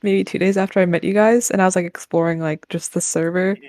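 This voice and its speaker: A woman's voice: fairly low pitch, fairly even intonation, fast talker, a little mellow, no room sound. A nineteen-year-old woman chatting casually with friends, close to the microphone. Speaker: podcast guest. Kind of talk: casual anecdote and banter.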